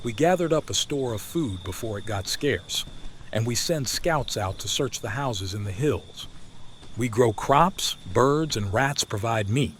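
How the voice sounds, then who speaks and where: A man's voice: medium pitch, expressive, measured pace, fairly bright tone, a little hiss or hum. Man acting grim, plain-spoken survivor leader. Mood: grim, matter-of-fact. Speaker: voice actor. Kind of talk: audio comic dub, dramatic dialogue.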